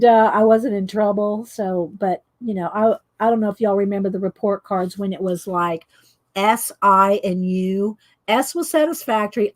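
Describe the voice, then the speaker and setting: A middle-aged woman with a loud voice that carries, chatting casually and rambling with frequent laughs while she colors for her viewers. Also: mid-range voice. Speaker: coloring channel host.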